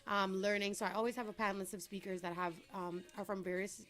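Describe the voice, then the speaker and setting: A young woman, born in Trinidad, chats brightly as a guest on an interview show. She talks at length in an upbeat, sociable way, with a voice of middling pitch and quick laughs between answers. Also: steady tone. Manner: upbeat, talkative, laughs easily. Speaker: entrepreneur guest. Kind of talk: casual interview chat.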